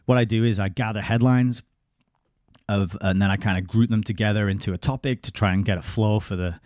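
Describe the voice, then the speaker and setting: A man's voice: on the low side, fairly even intonation, a little fast, a little mellow, no echo. A man hosting his own live-streamed commentary show, talking in a rambling, conversational way with wry asides, close to a desk microphone.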